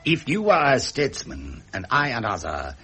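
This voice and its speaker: An actor playing a grave, gracious French statesman in a radio drama, speaking in a formal, measured and cautious manner. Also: medium pitch, wildly swooping pitch, pushed and bright, soft background music, heavily acted. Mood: cautious, sincere.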